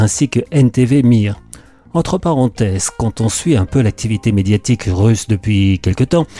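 A man's voice: medium pitch, dark tone. A man presenting a radio media column, reading a script with opinionated, informal asides.

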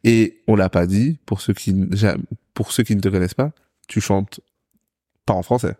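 A male podcast host keeps the interview loose and friendly, and he backs his guest up with quick agreements. His voice is dark and mellow. The sound is dry, with no room around it. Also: on the low side, no room sound. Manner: frequent short backchannel replies, chatty questions. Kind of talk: casual interview.

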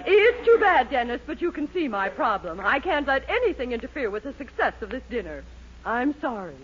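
Through a muffled old radio recording comes a haughty middle-aged society mother. She is imperious and fussy, her high voice swooping dramatically. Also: big pitch swings, fairly quick. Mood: haughty, resolute. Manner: imperious, melodramatic. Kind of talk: 1940s radio comedy acting. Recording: muffled 1940s radio recording, faint noise.

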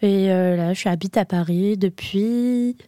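A woman in her twenties, a podcast guest speaking casually and eagerly, often pausing on fillers. Her tone is mellow and warm at a middle pitch. The recording is close and dry. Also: dry recording. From Andorra. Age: 20s. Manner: hesitant, many fillers, enthusiastic. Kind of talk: casual interview chat.

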